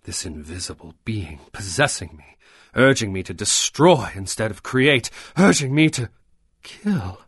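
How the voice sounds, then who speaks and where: An actor playing a haunted, fearful concert pianist in an old-time radio horror drama, anguished and melodramatic. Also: male voice, on the low side, big pitch swings, unhurried, husky, heavily acted. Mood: haunted, brooding. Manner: anguished, melodramatic, swinging from hushed to outbursts.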